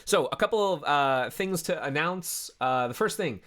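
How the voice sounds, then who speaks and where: A man's voice: a little high, lively intonation, normal speed, a bit bright. A man hosting a coding livestream in a casual, rambling way, sounding congested from a cold, recorded from his home office. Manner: rambling, self-interrupting, easygoing. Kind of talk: casual live-stream chat.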